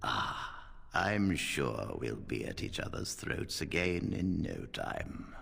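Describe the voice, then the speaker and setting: An actor playing an aged, dignified, wry wizard leader in a video game, with a gravelly, breathy voice and a measured, formal manner. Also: male voice, very deep voice, sing-song, a bit bright, heavily acted. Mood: dry, weary. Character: aged male First Enchanter mage, wry and dignified.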